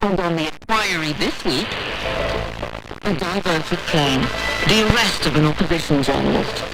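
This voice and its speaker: A woman's voice: low-pitched, moderate pace, poor audio. A woman announcer reading a scripted programme trail with dramatic delivery. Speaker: radio announcer. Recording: off-air shortwave radio over loud music.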